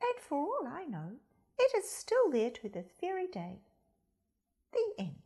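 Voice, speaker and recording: A woman storyteller reading a children's storybook expressively, with theatrical, deliberate phrasing. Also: very high-pitched, wildly swooping pitch, mellow. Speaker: storyteller, primary school reader.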